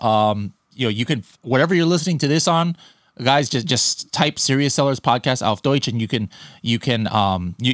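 A man's voice: medium pitch, a bit bright, dry recording, clean studio sound. A man hosting a podcast interview in a loose, chatty way, full of fillers and restarts. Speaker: podcast host. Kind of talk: casual interview chat.

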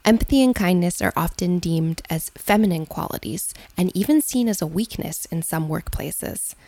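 A woman's voice: fairly low pitch, normal speed, warm tone, no room sound. A woman reading out a prepared question as a podcast interviewer.

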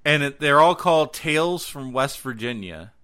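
A man hosting a podcast, chatting casually about gaming news in an excitable, slangy way, repeating words for emphasis. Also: a little high, moderate pace. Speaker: podcast host and game streamer.

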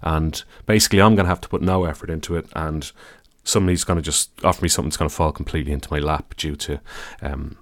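A deep-voiced Irish man with a calm, soothing tone, talking his way through a podcast in a loose, wandering manner. His delivery is easygoing and wry. The recording is close and dry, with no room sound. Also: fast, no echo. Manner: easygoing, rambling, dry self-deprecating humour. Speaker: podcast host. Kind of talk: casual solo podcast monologue.

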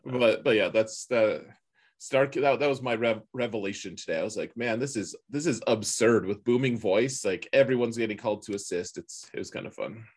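A man co-hosting a gaming podcast, chatting casually and colloquially with a husky voice and strong opinions. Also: on the low side, normal speed. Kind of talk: casual chat about a mobile game.

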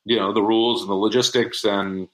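A man with a somewhat low voice talks casually as a guest in an interview. He thinks out loud as he goes, with a folksy, conversational turn of phrase. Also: flat delivery, brisk, poor audio. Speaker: interview guest, audio drama producer.

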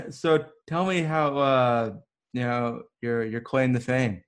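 A man's voice: mid-range voice, steady tone, slow. A young man hosting a podcast in an easy, upbeat way. His voice sits in a middle range, and his questions come out loose, with fillers. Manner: casual, upbeat intros with fillers. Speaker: podcast host. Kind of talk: podcast interview.

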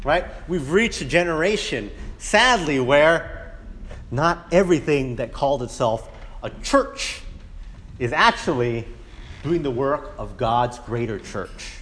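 Conversational pastor in a reverberant hall. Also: male voice, medium pitch, expressive, slow pace, fairly bright tone. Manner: conversational preaching, frequent tag "right?". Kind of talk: sermon.

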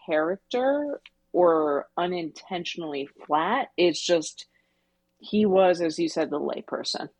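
Low-voiced woman podcaster, close dry sound. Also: lively intonation, a little slow, darkish tone, no echo. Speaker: podcast co-host. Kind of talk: casual book discussion.

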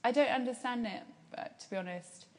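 A woman with a soft, gentle voice, talking calmly as an interview guest about her business and laughing often. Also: a little high, brisk, big-room sound. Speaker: guest, small business owner. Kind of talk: casual interview conversation.

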